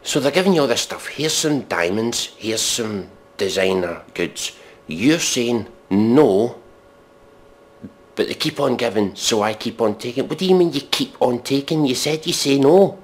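A man giving mock dramatic readings and sardonic commentary in a deadpan, exasperated, sweary manner. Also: mid-range voice, a little slow. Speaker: comedic commentator.

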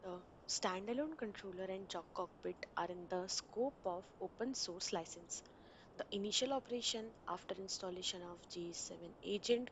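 A woman reading a screen-recording software walkthrough in a steady instructional way, with small grammar slips. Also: mid-range voice, slight background noise, poor audio. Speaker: software tutorial narrator.

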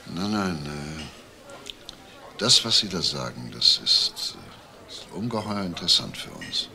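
A man's voice: very deep voice, very animated, unhurried, gravelly, pushed and bright, full character voice. An actor playing a shrewd male police detective in a crime drama, probing with clipped questions. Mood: thoughtful. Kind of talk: German TV crime drama interrogation.

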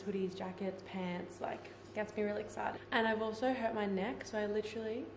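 A woman's voice: medium pitch, a little mellow. A young woman in her twenties vlogging casually at home, gushing and chatty with lots of "like", filmed on a handheld camera. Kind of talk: casual vlog chat. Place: home gym and kitchen, indoors. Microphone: handheld camera at arm's length. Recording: echoey room with faint noise.

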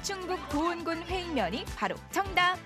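A woman narrating a TV feature in a bright, cheerful scripted way. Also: extremely high pitch, very animated, moderate pace. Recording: music behind narration.

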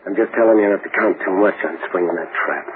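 A man's voice: sits low, fast talker, close to an everyday voice. A man playing a desperate, vengeful condemned convict with intense, clipped tough talk, in old-time radio style. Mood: grim, desperate. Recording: noisy muffled old radio recording.

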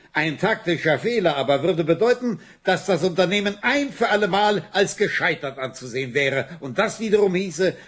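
A composed financier in a radio drama. His fairly high voice stays measured and persuasive as he reassures his audience. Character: composed male financier addressing creditors.

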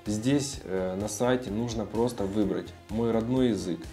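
A man's voice: lowish voice, unhurried, mellow, soft background music. A man in his thirties giving a casual, blunt, informal tutorial as a video blogger. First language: Russian. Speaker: video blogger sharing language-learning tips.

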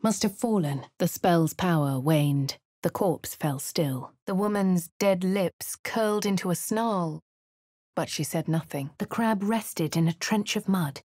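An actress with a posh English accent voicing a composed young female adventurer in a game, crisp and clipped. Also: on the low side, unhurried, darkish tone, no room sound, clean studio sound. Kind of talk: game voice lines and narration.

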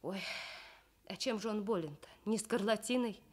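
A woman voicing a caring working mother in a radio story, homely and gently chiding. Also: medium pitch, moderate pace, lightly acted. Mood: concerned.

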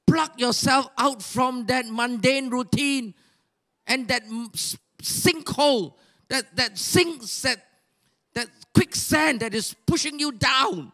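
An older man preaches in Malaysian-accented English, his voice sitting unusually high for a man. He exhorts his congregation, fires off rhetorical questions, and breaks into shouts when he urges them on. It is a live sermon delivered on a church stage into a handheld microphone. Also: takes it slowly. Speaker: pastor. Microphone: handheld mic.

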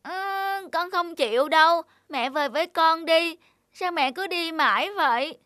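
A high, plaintive little cartoon girl. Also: measured pace, pushed and bright, no room sound, clean studio sound, exaggerated voice. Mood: whining, upset. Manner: plaintive, insistent, childlike. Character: upset little girl longing for her mother. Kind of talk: cartoon voice acting.

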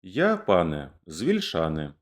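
A man's voice: sits low, wildly swooping pitch, unhurried, heavily acted. A man voicing a grieving, vengeful young male rebel in a declaimed verse reading. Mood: impassioned. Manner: impassioned, declamatory.

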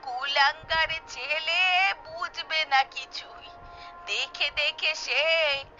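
Woman playing a shrill, slow-scolding old village mother. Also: steady tone, pushed and bright, exaggerated voice. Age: older. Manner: nagging, drawn-out scolding. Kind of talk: Bengali comic cartoon acting. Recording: dull lo-fi track, music behind.

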